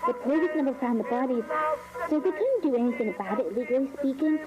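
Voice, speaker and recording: A woman voicing an eager, adventurous child in an animated horror cartoon, telling spooky tales. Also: high voice, fast talker, dull audio, over loud music. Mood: spooky storytelling. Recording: loud ambient noise, radio-like hiss.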